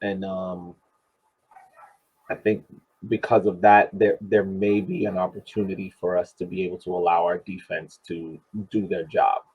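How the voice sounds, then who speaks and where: A man on a tinny, phone-like call giving casual sports commentary as a panelist, halting and deliberate as he thinks aloud. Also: deep voice, level tone, breathy edge. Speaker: podcast panelist. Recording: tinny, muffled phone-like line.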